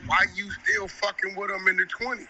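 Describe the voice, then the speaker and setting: A man's voice: high-pitched, level tone, fairly quick, sharp tone, muffled recording, a little hiss or hum. A man guest in a casual chat, giving blunt streetwise advice. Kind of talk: casual chat over a call.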